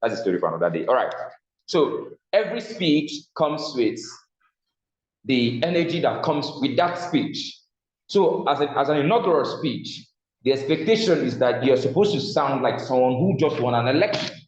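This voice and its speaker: A man's voice: mid-range voice, expressive, moderate pace. A man with a Nigerian accent teaching a public speaking class, often posing a question to the room and then answering it himself. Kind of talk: classroom lecture. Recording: echoey room, slightly dull sound.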